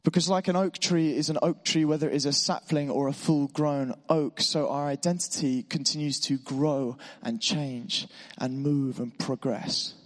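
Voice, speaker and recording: A man preaching a sermon in church, encouraging and informal, his voice a little hoarse from being unwell. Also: fairly high pitch, fairly even intonation, normal speed, hardly any pauses. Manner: warm, encouraging, informal with asides. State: slightly hoarse voice. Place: church hall. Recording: long hall reverb.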